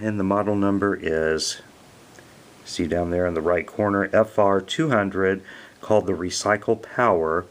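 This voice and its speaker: Deep-voiced American man reviewing gear. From American Midwest. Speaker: product reviewer. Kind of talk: casual product review.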